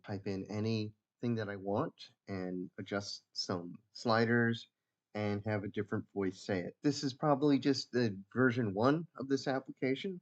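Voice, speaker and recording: Low-voiced man, dull lo-fi recording. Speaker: software developer presenting own app. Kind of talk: casual screen-share demo narration. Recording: dull lo-fi mic.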